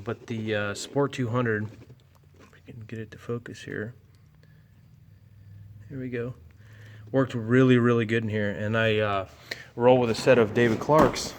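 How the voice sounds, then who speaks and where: Low-voiced man rambling in a reverberant hall. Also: a little hiss or hum. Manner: rambling, halting, folksy. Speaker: aircraft owner and pilot. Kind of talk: casual unscripted walkthrough.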